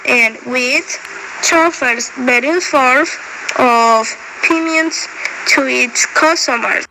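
A woman's voice: high voice, slow. A woman, giving careful, rehearsed interview answers in English. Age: 20s. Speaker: job candidate, university student. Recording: clearly noisy recording with ambience.

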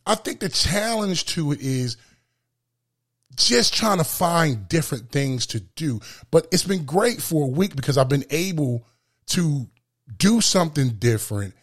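A man hosting a solo motivational podcast with a booming voice, speaking emphatically and repeating phrases for effect. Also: animated, a little slow, fairly bright tone. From lives in Florida. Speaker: podcast host.

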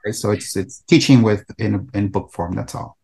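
A man's voice: lowish voice, normal speed, gravelly, mellow. A middle-aged man from El Salvador, an author answering interview questions in a thoughtful, conversational way. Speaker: author and researcher guest.

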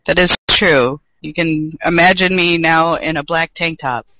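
Bright-voiced man with a dry throat. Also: on the high side, unhurried, a little hiss or hum, low-quality recording. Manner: loose, joking, swears freely. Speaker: podcast co-host. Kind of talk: casual chat.

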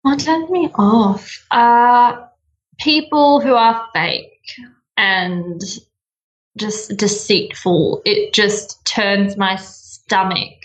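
A young woman with an Australian accent answering interview questions in a bubbly, giggly way. She stretches out words for emphasis and speaks at an everyday pitch, with a relaxed, conversational delivery. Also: drawn-out words, poor audio. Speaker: interview guest. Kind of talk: casual interview chat.

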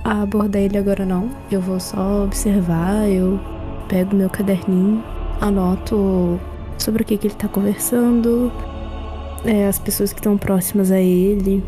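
A woman at a tabletop game, describing her actions playfully. Her voice is warm and mellow, with faint music in the background. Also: mid-range voice, little pitch movement, moderate pace. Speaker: player.

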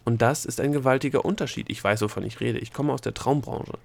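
A man voicing an exasperated gnome in a storybook, loud and emphatic as he pleads and persuades. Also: mid-range voice, fast, vocal fry. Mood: persuasive. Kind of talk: storybook character dialogue.

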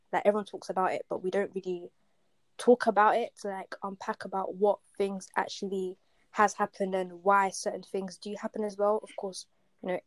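A woman's voice: mid-range voice, a little mellow, no room sound. A woman hosting a podcast in an informal, conversational way. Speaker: podcast host.

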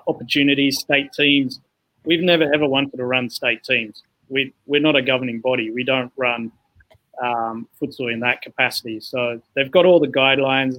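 A man's voice: medium pitch, a bit bright, low-quality recording. A man born in Zambia and raised in England, a guest explaining his work at length in a casual podcast interview, with frequent "you know" fillers. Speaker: guest, founder of a futsal organisation.

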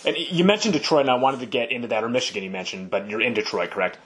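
A man's voice: mid-range voice, rapid-fire, fairly bright tone. A man hosting a podcast interview, asking long, winding questions in a casual, conversational way. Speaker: podcast host. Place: Los Angeles.

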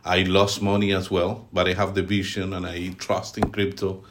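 A middle-aged Colombian man with a Colombian Spanish accent and a very low voice, answering podcast questions. He talks haltingly, filling gaps with "uh" and restarting his sentences. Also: flat delivery, noisy background. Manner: halting, many fillers and restarts. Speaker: guest, team leader. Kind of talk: podcast interview.

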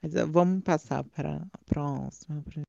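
A woman's voice: low-pitched, steady tone, a little slow, vocal fry, warm tone, no echo, highs cut off. A Brazilian woman co-hosting a casual chat, gushing and trailing off with fillers. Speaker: podcast co-host. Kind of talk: casual chat reviewing a drag show.

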